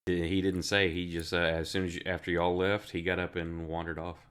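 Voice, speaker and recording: Sick game master with a deep voice. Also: male voice, little pitch movement, fairly quick, fairly bright tone, clear, resonant tone. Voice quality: deepened by illness. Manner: tired, low-energy. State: sick, subdued. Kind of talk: running a tabletop game on a live stream.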